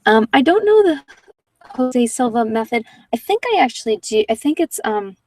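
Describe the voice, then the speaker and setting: A woman speaks softly in a casual livestream chat, often dropping toward a whisper, rambling reflectively and trailing off mid-thought. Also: fairly high pitch, very animated, moderate pace, no room sound, distant mic. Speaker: ASMR meditation host.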